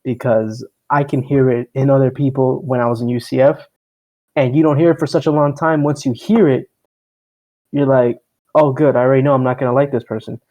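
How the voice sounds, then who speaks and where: A man's voice: mid-range voice, steady tone, fairly quick, dark tone, low-quality recording. A young man with a Miami accent, co-hosting a podcast in a loose, rambling, profane way. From Miami, United States. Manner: rambling, profane, self-deprecating. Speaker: podcast co-host. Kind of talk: casual chat.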